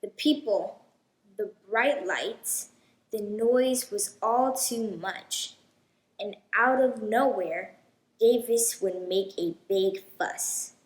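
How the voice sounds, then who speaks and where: A woman hosting a children's read-aloud, telling a storybook in a deliberate, expressive way. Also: mid-range voice, echoey room, poor audio.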